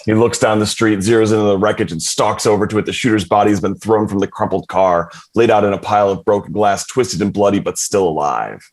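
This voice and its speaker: A man's voice: lowish voice, a little fast, hardly any pauses. A man narrating a TV script's action and stage directions at a table read.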